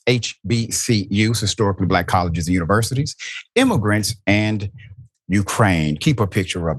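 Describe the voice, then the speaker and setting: A man's voice: on the low side, no echo. A man hosting a political commentary show, talking emphatically and asking rhetorical questions. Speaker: show host.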